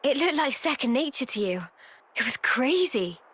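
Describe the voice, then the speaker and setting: A woman's voice: sits high, sing-song, normal speed, fairly bright tone, breathy edge, full character voice. A woman playing a level-headed, assertive young fighter in a video game, speaking directly. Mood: easygoing. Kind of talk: video game cutscene acting.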